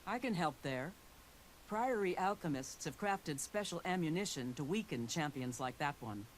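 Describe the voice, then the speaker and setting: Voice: medium pitch, lively intonation, moderate pace, a little hiss or hum, natural voice, low-quality recording. An actor voicing an eager game alchemist. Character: eager scholarly alchemist, helpful. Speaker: alchemist ally in a video game.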